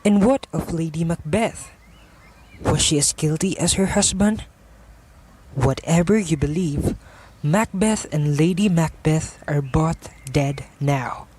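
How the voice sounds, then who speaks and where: A man's voice: fairly high pitch, slow pace, a little mellow, a little hiss or hum, low-quality recording. A man giving scripted narration as the narrator of an amateur radio drama. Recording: music behind the voice.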